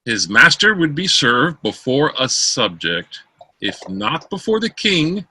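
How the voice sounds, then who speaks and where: A man reading Shakespeare verse drama aloud as an amateur, in a theatrical, declaiming manner with a husky voice. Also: medium pitch, very animated, slow pace, bright, forceful tone, no room sound, low-quality recording. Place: close dry room, online call.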